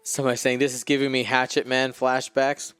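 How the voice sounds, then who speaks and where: A man's voice: medium pitch, little pitch movement, a little fast, fairly bright tone, clean tone. A man co-hosting a live stream of a tabletop miniatures game, commentating in a chatty way and bantering playfully with viewers. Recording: close dry mic with faint music.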